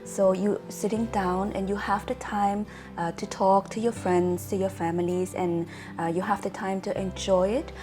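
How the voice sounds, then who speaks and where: A woman from Germany talks casually as she explains things to a companion. Her pitch is medium and her delivery is halting, with pauses to search for words. Soft music plays behind her. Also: level tone. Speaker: wine-savvy guest explaining to host.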